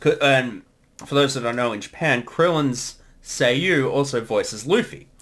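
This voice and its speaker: A man's voice: mid-range voice, a little slow, a bit bright. A man speaking as a series creator, giving a casual commentary.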